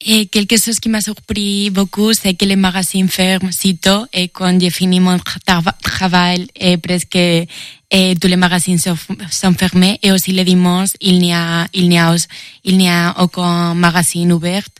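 A woman's voice: on the low side, little pitch movement, no echo, studio quality. Woman speaking French with a Spanish accent. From Spain. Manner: halting, repeats words while searching for phrasing. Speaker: intern at an EU agency. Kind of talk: interview answers in a second language.

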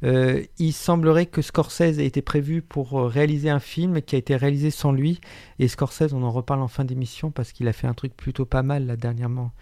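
A man's voice: medium pitch, steady tone, fairly quick, warm tone, no echo, clean studio sound. A man presenting a radio book column in a wry, articulate way, with frequent hesitations. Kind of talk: radio literary chronicle, partly read.

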